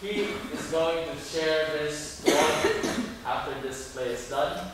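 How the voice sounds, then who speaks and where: A man's voice: fairly high pitch, expressive, takes it slowly. A man presenting a school play, making a live announcement to an audience. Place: large echoey room, recorded from the audience. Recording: echoey hall, distant mic, faint noise.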